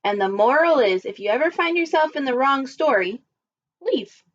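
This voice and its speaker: A woman teaching young children in a storytime lesson. Her voice sits fairly high, with expressive delivery and simple, instructive phrasing. The home recording sounds slightly muffled. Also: moderate pace. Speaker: primary school teacher. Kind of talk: children's read-aloud and lesson.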